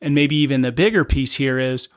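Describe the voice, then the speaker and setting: A man's voice: medium pitch, a little fast. A man hosting a podcast, talking in a laid-back, slangy way and affirming his guest. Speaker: podcast host. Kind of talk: casual podcast conversation.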